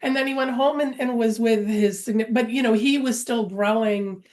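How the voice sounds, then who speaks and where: A woman's voice: fairly high pitch, moderate pace, a little mellow. A woman guest on a podcast, telling stories in an upbeat, casual way.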